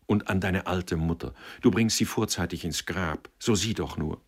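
A man playing a kindly old man who mediates with concern, in a measured, entreating, murmuring manner, in an audiobook. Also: low-pitched, slightly rough.

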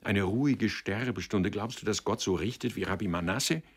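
A stubborn young son played by a male reader in a literary audiobook. The low voice is pained and grim, holding out with halting but determined defiance. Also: gravelly, breathy edge. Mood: pained pleading. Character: anguished, stubborn young son, aspiring actor.

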